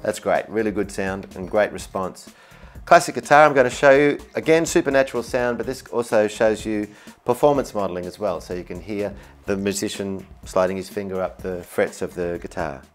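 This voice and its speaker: A man's voice: on the low side, a little fast. A man presenting a product demonstration in an upbeat, enthusiastic way. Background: music, demonstrated keyboard sounds.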